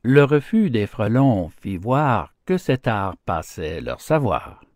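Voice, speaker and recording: A man narrating storybook fables in a theatrical, declamatory and expressive style. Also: lowish voice, slow, dry recording, clean studio sound. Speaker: audiobook narrator.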